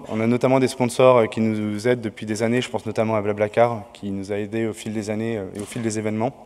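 A man's voice: on the low side, darkish tone. A man organizing a conference, giving opening announcements from a podium with many hesitations. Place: large lecture hall. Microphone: podium mic. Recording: reverberant hall.

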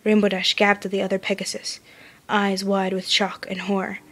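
A woman's voice: medium pitch, completely flat delivery, moderate pace, fairly bright tone. A woman reading fan fiction aloud as scripted story narration.

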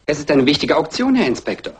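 A man playing a brisk, insistent art dealer under suspicion in a German radio crime drama, with a husky voice. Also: a little high, sing-song, heavily acted. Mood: agitated, protesting. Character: brisk, evasive male London-style art dealer suspect.

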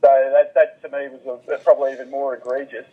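Over a narrow telephone line, a male commentator gives careful, measured analysis. His voice is husky and mid-pitched, and he qualifies his points as he goes. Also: little pitch movement. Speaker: basketball commentator, interview guest. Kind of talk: phone interview analysis.

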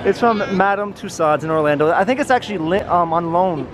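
A man's voice: high voice, brisk, a crowd in the background. A man in his twenties chatting casually to the camera as a vlogger. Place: large indoor expo hall. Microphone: handheld camera microphone. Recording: noisy crowded convention hall.

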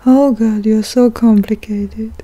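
A woman's voice: fairly high pitch, fairly even intonation, takes it slowly, dark tone, poor audio. A woman co-hosting a podcast in casual, flirty ASMR chat, playful and teasing, close to the microphone. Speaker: podcast co-host.

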